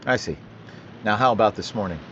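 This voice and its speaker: An actor playing a police detective in a 1940s-style radio drama, asking questions in a level, matter-of-fact way. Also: male voice, lowish voice, fairly quick, fairly bright tone, muffled recording, a little hiss or hum, natural voice. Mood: businesslike. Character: calm male police detective, businesslike.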